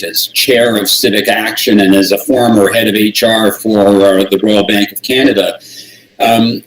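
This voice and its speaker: An older man moderating a video-call panel, courteous and measured as he introduces a speaker. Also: on the low side. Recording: echoey video call audio.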